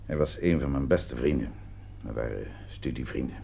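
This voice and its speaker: A man acting a gruff, sceptical police commissioner in a Dutch radio play, dry, sarcastic and probing. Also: rumbling low, normal speed, mellow, full character voice. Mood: grave, probing. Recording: dull, lo-fi radio play recording.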